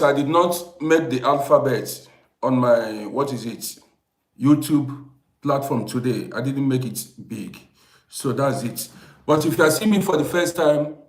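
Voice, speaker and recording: A man of fifty-eight from Vienna hosts an informal livestream, talking to viewers emphatically and repeating his phrases insistently. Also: medium pitch. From Vienna, Austria. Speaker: live-stream host and storyteller. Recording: echoey room sound.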